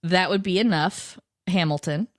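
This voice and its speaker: A woman's voice: fairly low pitch, normal speed, no room sound, clean studio sound. A woman in her thirties co-hosting a podcast, teasing her partner and laughing often in casual chat. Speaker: podcast co-host.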